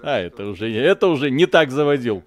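A man's voice: medium pitch, big pitch swings, quick pace. A man hosting a live video game stream, chatting casually in slangy, joking language with mild expletives.

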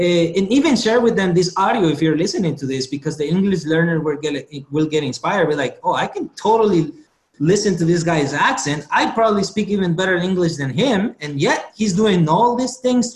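Man, Guatemalan Spanish accent, slightly high voice, echoey room, confident joking storyteller. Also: animated, brisk. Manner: jokey, self-assured storyteller. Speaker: guest speaker. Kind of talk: casual podcast conversation.

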